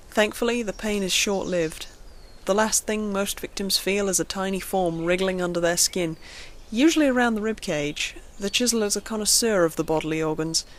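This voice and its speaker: A horror narrator reading a scripted creepy tale. The delivery is slow and measured, leaning into dramatic pauses to build dread. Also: medium pitch.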